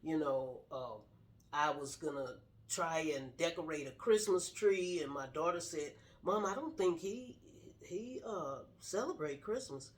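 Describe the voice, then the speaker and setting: A woman's voice: sits low, measured pace. A middle-aged woman sharing her personal story casually in an earnest, straightforward way. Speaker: guest telling personal story, wife of the host.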